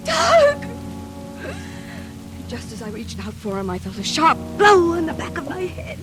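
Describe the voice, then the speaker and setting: High-voiced, frightened radio heroine. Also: female voice, huge pitch swings, full character voice. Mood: rising horror. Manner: breathless, melodramatic, halting suspenseful delivery. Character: terrified woman recounting her mad sister's deadly menace. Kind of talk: 1940s radio suspense acting, dramatic first-person narration. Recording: old radio drama, music behind.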